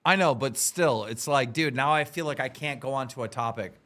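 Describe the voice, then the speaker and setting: A man's voice: mid-range voice, fairly quick, pushed and bright. A man hosting a livestream, casual and bossy, giving orders to his co-hosts. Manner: bossy and impatient. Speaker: livestream host.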